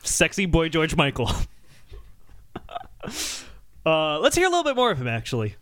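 A man hosting a podcast in casual, comedic discussion with animated, exasperated emphasis. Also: a little high, normal speed, heavy background noise. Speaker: podcast host.

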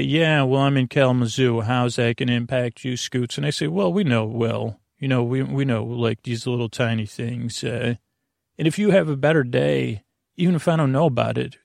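A man with a creaky voice hosting a bedtime podcast in a meandering, halting, earnest monologue that keeps interrupting itself. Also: mid-range voice, no echo, studio quality. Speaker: podcast host.

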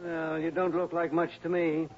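A man's voice: on the high side, completely flat delivery, normal speed, close to an everyday voice. An actor playing a cantankerous, miserly and suspicious old rich man in a 1960s radio drama, blustering and irritable. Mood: indignant, grumbling. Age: older. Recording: dull, noisy old radio recording.

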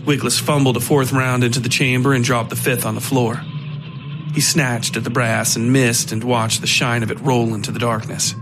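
A man reading scripted dark fiction as the narrator of a horror podcast. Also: mid-range voice, level tone, normal speed, rough recording. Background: music.

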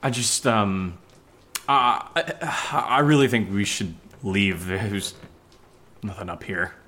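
An actor playing a frightened, exasperated man in a horror audio drama, stammering and halting when nervous. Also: on the low side, pushed and bright, a little hiss or hum. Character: Steve, frightened, exasperated man investigating a creepy house.

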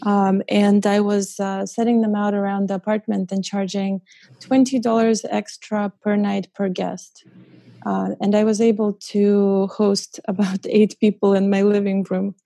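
A young woman from Ukraine, a guest in a casual interview, talking with many ums and uhs and soft laughs. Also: mid-range voice, level tone, measured pace, vocal fry. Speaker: guest, short-term rental host.

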